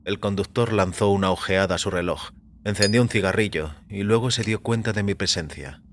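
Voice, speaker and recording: A man reading scripted first-person fiction as narrator, in a measured, composed manner. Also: lowish voice, a little hiss or hum. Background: music.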